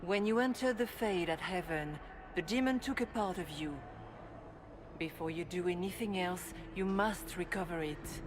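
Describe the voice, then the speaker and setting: A woman playing a calm spirit in the form of an elderly holy woman, speaking in a measured, formal way in a game cutscene. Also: natural voice. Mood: solemn, guiding. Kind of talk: video game cutscene acting. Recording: noisy game capture with rumbling ambience.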